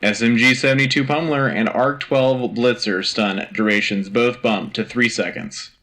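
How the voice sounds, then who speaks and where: Man playing a forceful wartime radio news anchor. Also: medium pitch, steady tone, normal speed, close to an everyday voice. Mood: brisk, official. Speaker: radio host. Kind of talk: scripted military news bulletin.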